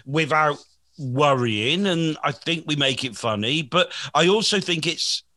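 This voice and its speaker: A man in his forties hosts a casual podcast, rambling and poking fun at himself, with frequent restarts. His voice is bright and forceful and sits a little high. It is recorded close and dry. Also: expressive, measured pace, dry recording. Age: 40s. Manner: rambling, self-deprecating, many restarts. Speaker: podcast host and comedian.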